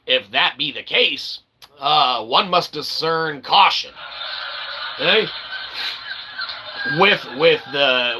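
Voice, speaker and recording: A man hosting his own channel, rambling through an opinion monologue with lots of "okay?" and sudden loud outbursts. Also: medium pitch, creaky, slightly rough. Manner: rambling, repeats "okay?" and fillers, bursts of loud excitement. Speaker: channel host.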